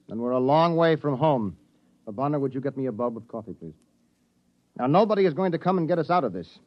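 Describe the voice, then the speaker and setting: An American man playing a grave, measured, authoritative spaceship captain in 1950s radio drama style. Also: fairly high pitch, lightly acted. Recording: muffled vintage 1950s radio recording.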